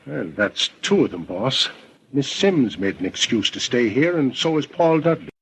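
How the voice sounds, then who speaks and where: Husky detective's tough sidekick. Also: male voice, mid-range voice, very animated, moderate pace, low-quality recording. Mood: reporting. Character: tough, loyal male detective's sidekick, plainspoken. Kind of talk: 1940s radio mystery acting.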